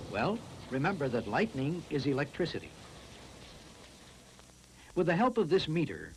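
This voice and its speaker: A man reads scripted science narration for a classroom film, explaining in a deliberate, instructive way. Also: medium pitch, a little hiss or hum, rough recording.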